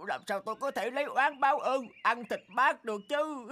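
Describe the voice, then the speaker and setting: A man voicing a trapped, scheming male tiger in a cartoon, flattering and boastful. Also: very high-pitched, moderate pace. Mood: pleading. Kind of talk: cartoon voice acting. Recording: music bed under the voice.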